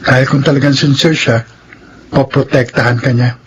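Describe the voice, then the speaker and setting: An actor playing a calm, secretive young man in a Philippine radio drama, steady and resigned. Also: mid-range voice, normal speed, lo-fi sound, a little hiss or hum, lightly acted.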